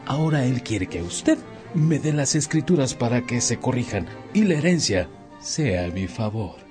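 Man as animated, sheepish well-born heir. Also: medium pitch, moderate pace, natural voice. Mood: polite, sheepish. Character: well-born son seeking back his inheritance, sheepish. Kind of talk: radio drama acting. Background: music.

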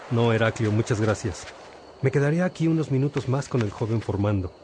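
An actor playing a calm, fatherly bishop who instructs a novice in a measured way in a religious radio drama. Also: mid-range voice, background noise, close to an everyday voice.

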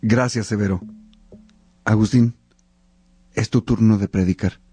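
An actor playing a genial, courteous cleric among friends in a radio drama. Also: male voice, on the low side, fairly even intonation, measured pace, mellow, breathy edge, no room sound, soft background music. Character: Alipio, genial cleric friend presiding at table.

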